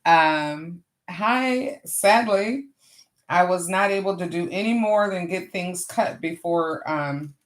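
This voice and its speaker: A middle-aged woman with a hoarse voice, hosting a casual crafting livestream and chatting to viewers as she works. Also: fairly low pitch, takes it slowly. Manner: chatty, greets viewers warmly and often. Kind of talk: casual live craft chat.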